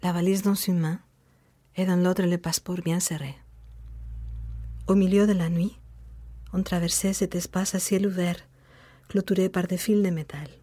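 A native Spanish-speaking woman with a Spanish accent, narrating a personal memoir in an intimate, measured, reflective way. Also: on the low side, flat delivery, warm tone, husky, dry recording. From Spain. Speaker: narrator of an autobiographical sound piece. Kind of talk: intimate scripted autobiographical narration.

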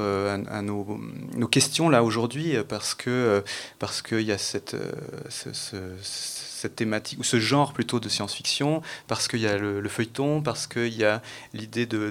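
A man hosting an unscripted radio round table, halting and often repeating his words as he goes. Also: medium pitch. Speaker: panel host.

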